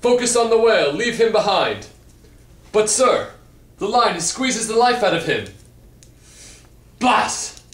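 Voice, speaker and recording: Frantic whaling mate, acted by a man, raised high pitch, melodramatic exclamations, puppet comedy, roomy distant sound. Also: sing-song, slow pace, pauses often, fairly bright tone, exaggerated voice. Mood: urgent, commanding. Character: frantic whaling ship mate shouting orders at sea. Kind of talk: comic puppet show performance.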